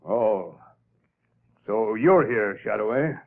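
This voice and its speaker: An actor playing a blunt, skeptical police commissioner in a 1930s radio drama, with a tough manner. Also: male voice, on the low side, animated, slow. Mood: brusque. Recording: tinny, scratchy 1930s radio broadcast.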